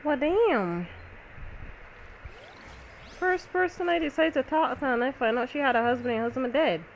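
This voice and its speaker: A woman's voice: sits high, animated, normal speed, highs cut off, slight background noise. A woman giving casual gameplay commentary with blunt, exclamatory reactions in colloquial English. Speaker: gamer reacting to a story game.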